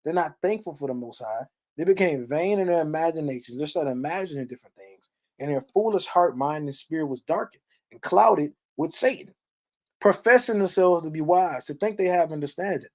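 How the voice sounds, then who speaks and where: A man's voice: a little high, lively intonation, fairly quick. A man giving read-along Bible teaching, reading verses and explaining them in informal, emphatic speech peppered with check-in tag questions. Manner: emphatic, frequent tag questions like "right?" and "okay?"; colloquial, informal preaching.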